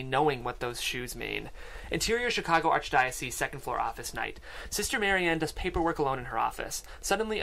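Mid-pitched screenplay narrator. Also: vocal fry, a bit bright. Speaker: stage-direction reader at a table read. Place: podcast studio with several people. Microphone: studio microphone.

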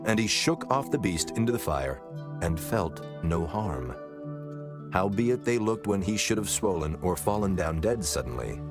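Very deep male scripture narrator over music. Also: big pitch swings, moderate pace.

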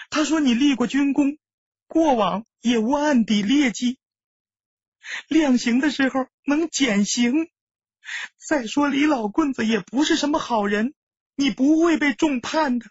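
A woman's voice: high voice, a little slow, long pauses, darkish tone, husky, no room sound, muffled recording. A woman playing a caring, earnest older sister who pleads with and reassures her brother, in audiobook style. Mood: earnest reassurance. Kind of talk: audiobook character acting.

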